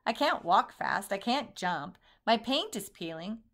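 A woman's voice: medium pitch, expressive, unhurried, a bit bright. A woman voicing a small, timid wooden puppet boy who sounds anxious and pleading. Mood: disbelieving.

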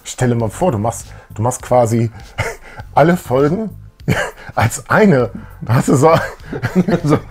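Middle-aged man, rough husky voice, guest, casual fan chat, colloquial, jokey, music behind. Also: mid-range voice, expressive, slow pace, pauses often, a little mellow. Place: small room full of posters and collectibles, on a couch.

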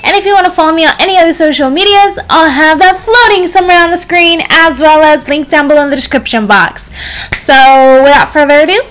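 A young woman in her twenties with a slightly raspy voice, chatting casually to camera in a bedroom as a beauty vlogger, just up from a nap. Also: high-pitched, fairly quick, slight background noise. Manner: chatty, expressive hand gestures, playful asides. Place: bedroom, indoors. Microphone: camera in front at desk distance.

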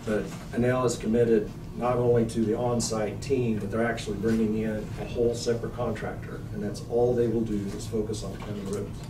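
A man's voice: on the low side, level tone, fairly quick, hardly any pauses. A man giving an informal project update as a landowner liaison at a meeting, recorded from far off. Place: small indoor meeting room. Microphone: distant camera microphone. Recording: echoey noisy meeting room from far off.

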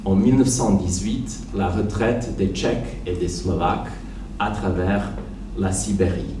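A man in his forties, speaking French as a second language, delivers an academic talk at a conference table into a handheld mic. He speaks slowly and carefully in a low, mellow voice, pausing with fillers. The sound is roomy and a little distant, with faint background noise. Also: steady tone. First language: not French. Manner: careful, hesitant with frequent pauses and fillers. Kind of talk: academic lecture. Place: conference hall, seated at a panel table. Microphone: handheld microphone. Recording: echoey hall, slightly distant.

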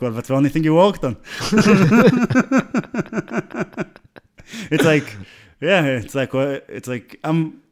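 A man's voice: mid-range voice, darkish tone. Israeli-accented man in casual chat. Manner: joking, halting, repeats phrases. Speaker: podcast co-host, musician.

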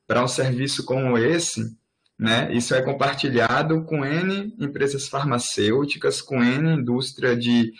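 A man's voice: mid-range voice, a little hiss or hum. A man with a Brazilian Portuguese accent moderating an online lecture in a casual, conversational way over a video call.

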